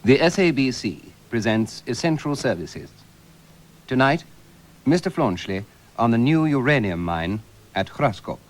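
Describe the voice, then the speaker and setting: A self-assured, solemn official with a medium-pitched voice. He is formal, matter-of-fact and deadpan in the manner of a spoof radio broadcast. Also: a little slow. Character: self-assured male official talking solemn technical gibberish.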